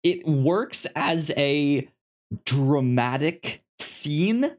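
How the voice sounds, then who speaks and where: A man co-hosting a podcast in casual review chat, halting and often stammering over his words as he explains. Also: on the high side. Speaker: podcast co-host.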